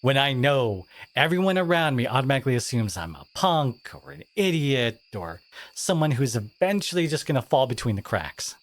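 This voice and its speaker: An actor plays an abrasive teenage school bully with a hidden caring streak in an audio roleplay. The delivery swings widely in pitch, exasperated and confrontational. Words land with emphasis, speaking straight to the listener. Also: very animated, moderate pace. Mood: bitter, wounded. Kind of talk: dramatic audio roleplay monologue addressed to listener.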